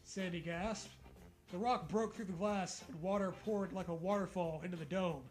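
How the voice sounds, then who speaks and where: Casting: male comedy reader, high voice, mock-serious delivery with joking asides, soft music behind. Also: fairly even intonation, normal speed. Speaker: co-host reading a fan fiction aloud. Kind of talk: comedic dramatic reading with asides.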